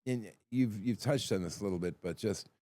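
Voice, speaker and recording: A man hosting an interview, asking his guest encouraging, soft-spoken questions. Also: on the low side, fairly quick, no echo. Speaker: podcast host. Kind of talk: interviewer with brief backchannels.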